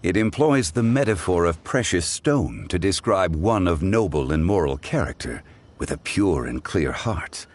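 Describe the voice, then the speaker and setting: An actor playing a dignified, learned gentleman judge in a video game, speaking formally and eloquently in measured phrases. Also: low voice, animated. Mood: calm, scholarly.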